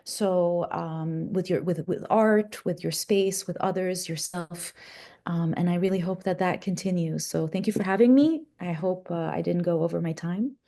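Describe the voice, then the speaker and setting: Woman artist presenting her slides, warm mellow tone, kinda halting, keeps correcting herself. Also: lowish voice. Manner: hesitant, many fillers and self-corrections. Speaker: perfumer and interdisciplinary artist, presenter.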